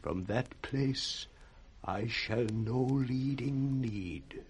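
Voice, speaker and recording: Weary old british nobleman, despairing, husky, very slow, old radio shakespeare. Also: mid-range voice. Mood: weary resolve. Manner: slow, broken, declamatory.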